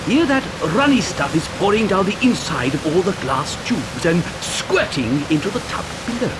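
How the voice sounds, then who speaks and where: An actor playing an excitable, eccentric chocolate factory owner in a children's audio drama, with a theatrical, stammering, chuckling delivery. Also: male voice, high voice, normal speed. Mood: brisk, proud. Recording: noisy old cassette recording.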